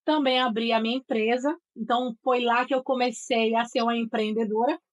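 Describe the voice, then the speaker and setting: Articulate Northeastern Brazilian woman, fairly high voice. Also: fairly even intonation, brisk. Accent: Northeastern Brazilian (Sergipe). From Aracaju, Sergipe, Brazil. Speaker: guest, voice and speech therapist. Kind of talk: interview storytelling.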